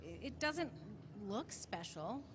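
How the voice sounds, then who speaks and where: Woman playing a lively, streetwise 1920s gal. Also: fairly high pitch, slow. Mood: plucky, wry. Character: plucky, streetwise 1920s young woman sidekick. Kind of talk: audio-drama style stage acting. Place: large convention hall stage. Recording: echoey hall stage recording with noise.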